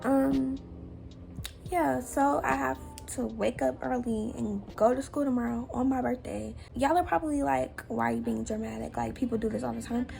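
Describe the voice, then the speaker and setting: A teenage girl from Atlanta, chatting casually in a vlog with lots of "like" and "um", her voice a little raspy. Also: a little high, steady tone, moderate pace, low-quality recording. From Atlanta, USA. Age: teens. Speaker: vlogger. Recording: loud background music.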